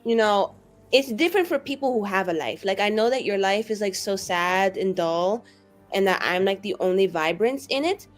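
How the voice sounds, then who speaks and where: A woman's voice: medium pitch, lively intonation, moderate pace, faint music behind, natural voice. A woman voicing a haughty, spiteful and very confident woman in a tabletop game, with a condescending, mock-innocent manner.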